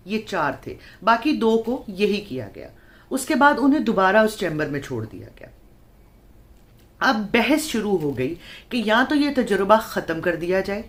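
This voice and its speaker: A woman telling a horror story in a dramatic, suspenseful way, phrasing it deliberately with pauses. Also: mid-range voice. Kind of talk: dramatic horror story narration in Urdu-Hindi.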